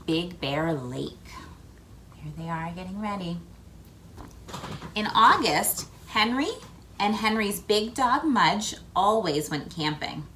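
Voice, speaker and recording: Woman reading to children, faint background noise. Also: fairly low pitch. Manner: addresses children directly, expressive emphasis. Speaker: read-aloud host for children. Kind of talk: picture book read-aloud with chatty asides.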